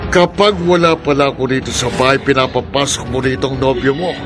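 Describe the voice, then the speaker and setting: Man playing a furious old Filipino employer, loud music. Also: mid-range voice, brisk, lightly acted. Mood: furious, accusing. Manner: harsh, accusing, berating. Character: furious, unforgiving older male household employer. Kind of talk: radio drama acting.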